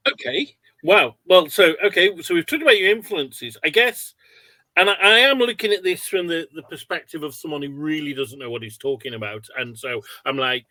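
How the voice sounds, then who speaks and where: A man's voice: on the high side, expressive, normal speed, sharp tone, dry recording, poor audio. A man hosting a casual online interview, asking wordy, hesitant, self-deprecating questions. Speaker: webinar host and interviewer.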